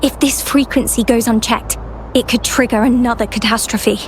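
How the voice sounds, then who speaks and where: A woman's voice: fairly high pitch, fairly even intonation, moderate pace, slight background noise, close to an everyday voice. A woman voicing a polite, conscientious young field researcher in a video game, earnest and slightly hesitant. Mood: concerned, explaining. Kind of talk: video game character dialogue.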